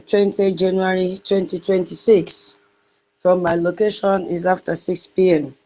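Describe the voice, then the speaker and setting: A woman's voice: fairly low pitch, rough recording. A woman with a Nigerian accent hosting a live online audio space, greeting and welcoming listeners by name, some in her own dialect. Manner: greets listeners one by one in dialect, sing-song and warm.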